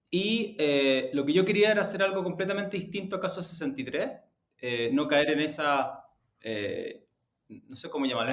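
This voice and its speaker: A man giving reflective interview answers as a guest, deliberate and halting, often correcting himself. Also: mid-range voice, fairly bright tone. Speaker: interview guest, media executive. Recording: roomy echo on the voice.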